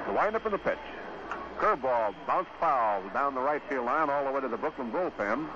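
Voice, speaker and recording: A man's voice: mid-range voice, lively intonation, brisk. An American man calling a baseball game play by play in 1950s radio commentary style. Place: ballpark broadcast. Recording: dull, noisy vintage radio broadcast.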